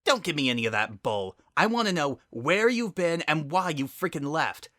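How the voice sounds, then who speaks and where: A man's voice: a little high, sing-song, normal speed, sharp tone, no room sound, studio quality, voice pushed up. A man voicing an angry, sarcastic female pegasus pony, a bitter older sister, in a dramatic story reading. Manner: biting, sarcastic, confrontational.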